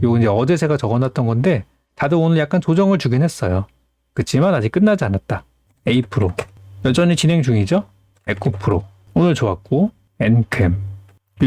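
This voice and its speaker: A man's voice: medium pitch, dark tone, no room sound. A man hosting as a stock market analyst, explaining things in an informal, conversational commentary style.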